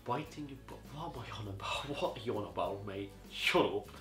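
An eighteen-year-old man giving rambling, casual advice to camera from a living-room sofa, his voice going a bit hoarse. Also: lowish voice, expressive, a little fast, roomy sound, over music. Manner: rambling, chatty, peppered with "you know". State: voice getting hoarse. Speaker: student vlogger. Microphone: camera on a tripod across the room.

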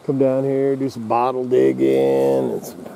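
A man's voice: mid-range voice, big pitch swings, measured pace, dark tone, a little hiss or hum. A man rambling casually as he films himself walking through mountain woods, often sidetracked. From Idaho. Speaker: outdoor vlogger. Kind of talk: casual running commentary while hiking. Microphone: handheld camera.

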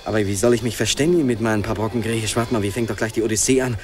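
An actor playing a brash, excitable special reporter in a radio play, with clipped telegram-style commentary and muttered asides. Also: male voice, fairly low pitch, rapid-fire, close to an everyday voice. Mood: excited, awed.

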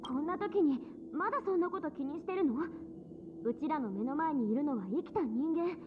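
A woman voicing a perky, easily flustered, kind-hearted teenage girl in an anime game, girlish and expressive. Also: high-pitched, normal speed, narrow, tinny audio, noisy background. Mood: exasperated.

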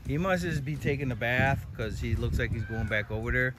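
American male vlogger, informal and excitable, addressing viewers directly; handheld phone outdoors, music underneath. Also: mid-range voice, a little fast. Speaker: fishing vlogger. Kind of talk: casual excited vlog commentary. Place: outdoors by a lake and park.

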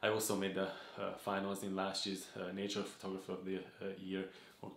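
A man speaks in a low, deep voice. He talks earnestly and at a measured pace, with many small "uh" pauses. The sound is roomy, with some echo from the home office. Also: completely flat delivery, creaky, a bit bright. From Hungary. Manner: earnest, measured, with frequent "uh" fillers. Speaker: photography vlogger. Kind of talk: talking-head channel introduction. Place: home office room with desk and gaming chair.

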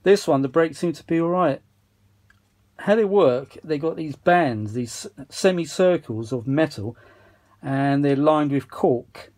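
A man's voice: fairly high pitch, unhurried. A British man giving casual, rambling, self-mocking commentary as he talks to himself during a repair at an indoor workbench. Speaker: vintage audio repair hobbyist and video maker. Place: indoor workshop bench. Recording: steady machine noise behind voice.

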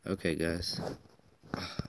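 Low-voiced young man, noisy phone recording. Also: little pitch movement, slow pace. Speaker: prank-call vlogger. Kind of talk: casual chat. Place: small indoor room with panelled ceiling. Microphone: phone at arm's length.

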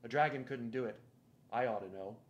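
A man's voice: medium pitch, fairly quick, muffled recording, a little hiss or hum, close to an everyday voice. A man voicing a glum, wry boy in a storybook reading, dry and grumbling.

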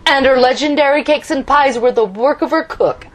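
A woman reading a storybook, voicing a calm, matter-of-fact father in a measured way. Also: high voice, pitched above the performer's own voice, lightly acted.

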